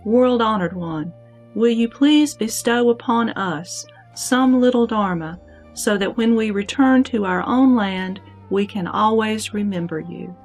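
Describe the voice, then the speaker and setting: A woman's voice: medium pitch, unhurried, faint music behind. A woman playing a reverent bodhisattva from a distant land, humbly petitioning with formal politeness in a sutra audio drama. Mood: reverent, pleading.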